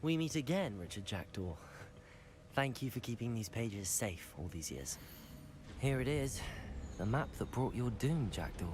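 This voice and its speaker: An actor playing a polite, determined teenage student wizard in a video game, with an earnest manner. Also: male voice, medium pitch, sing-song, unhurried, noisy background. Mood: confident. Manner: courteous, earnest. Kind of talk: video game character acting.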